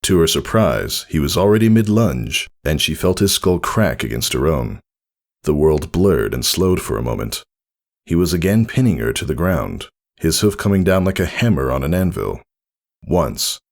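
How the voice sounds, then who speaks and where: A man's voice: extremely low pitch, big pitch swings, normal speed. A man reading a scripted story aloud as narrator, in a dramatic audiobook style.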